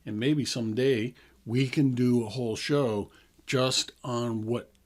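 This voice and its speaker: A man's voice: mid-range voice, steady tone, measured pace. Chatty man in his sixties hosting radio. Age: 60s. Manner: chatty, explanatory, laughs easily. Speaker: radio show host. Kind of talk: casual radio talk.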